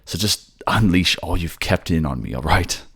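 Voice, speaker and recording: A man's voice: deep voice, lively intonation, normal speed, fairly bright tone, husky, full character voice. A man playing a devoted, self-sacrificing lover in a fantasy audio drama, soothing and earnest. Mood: soothing, desperate.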